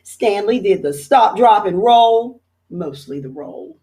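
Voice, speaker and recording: A woman, a teacher, reading a children's picture book aloud in an expressive storytelling manner. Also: mid-range voice, slow pace.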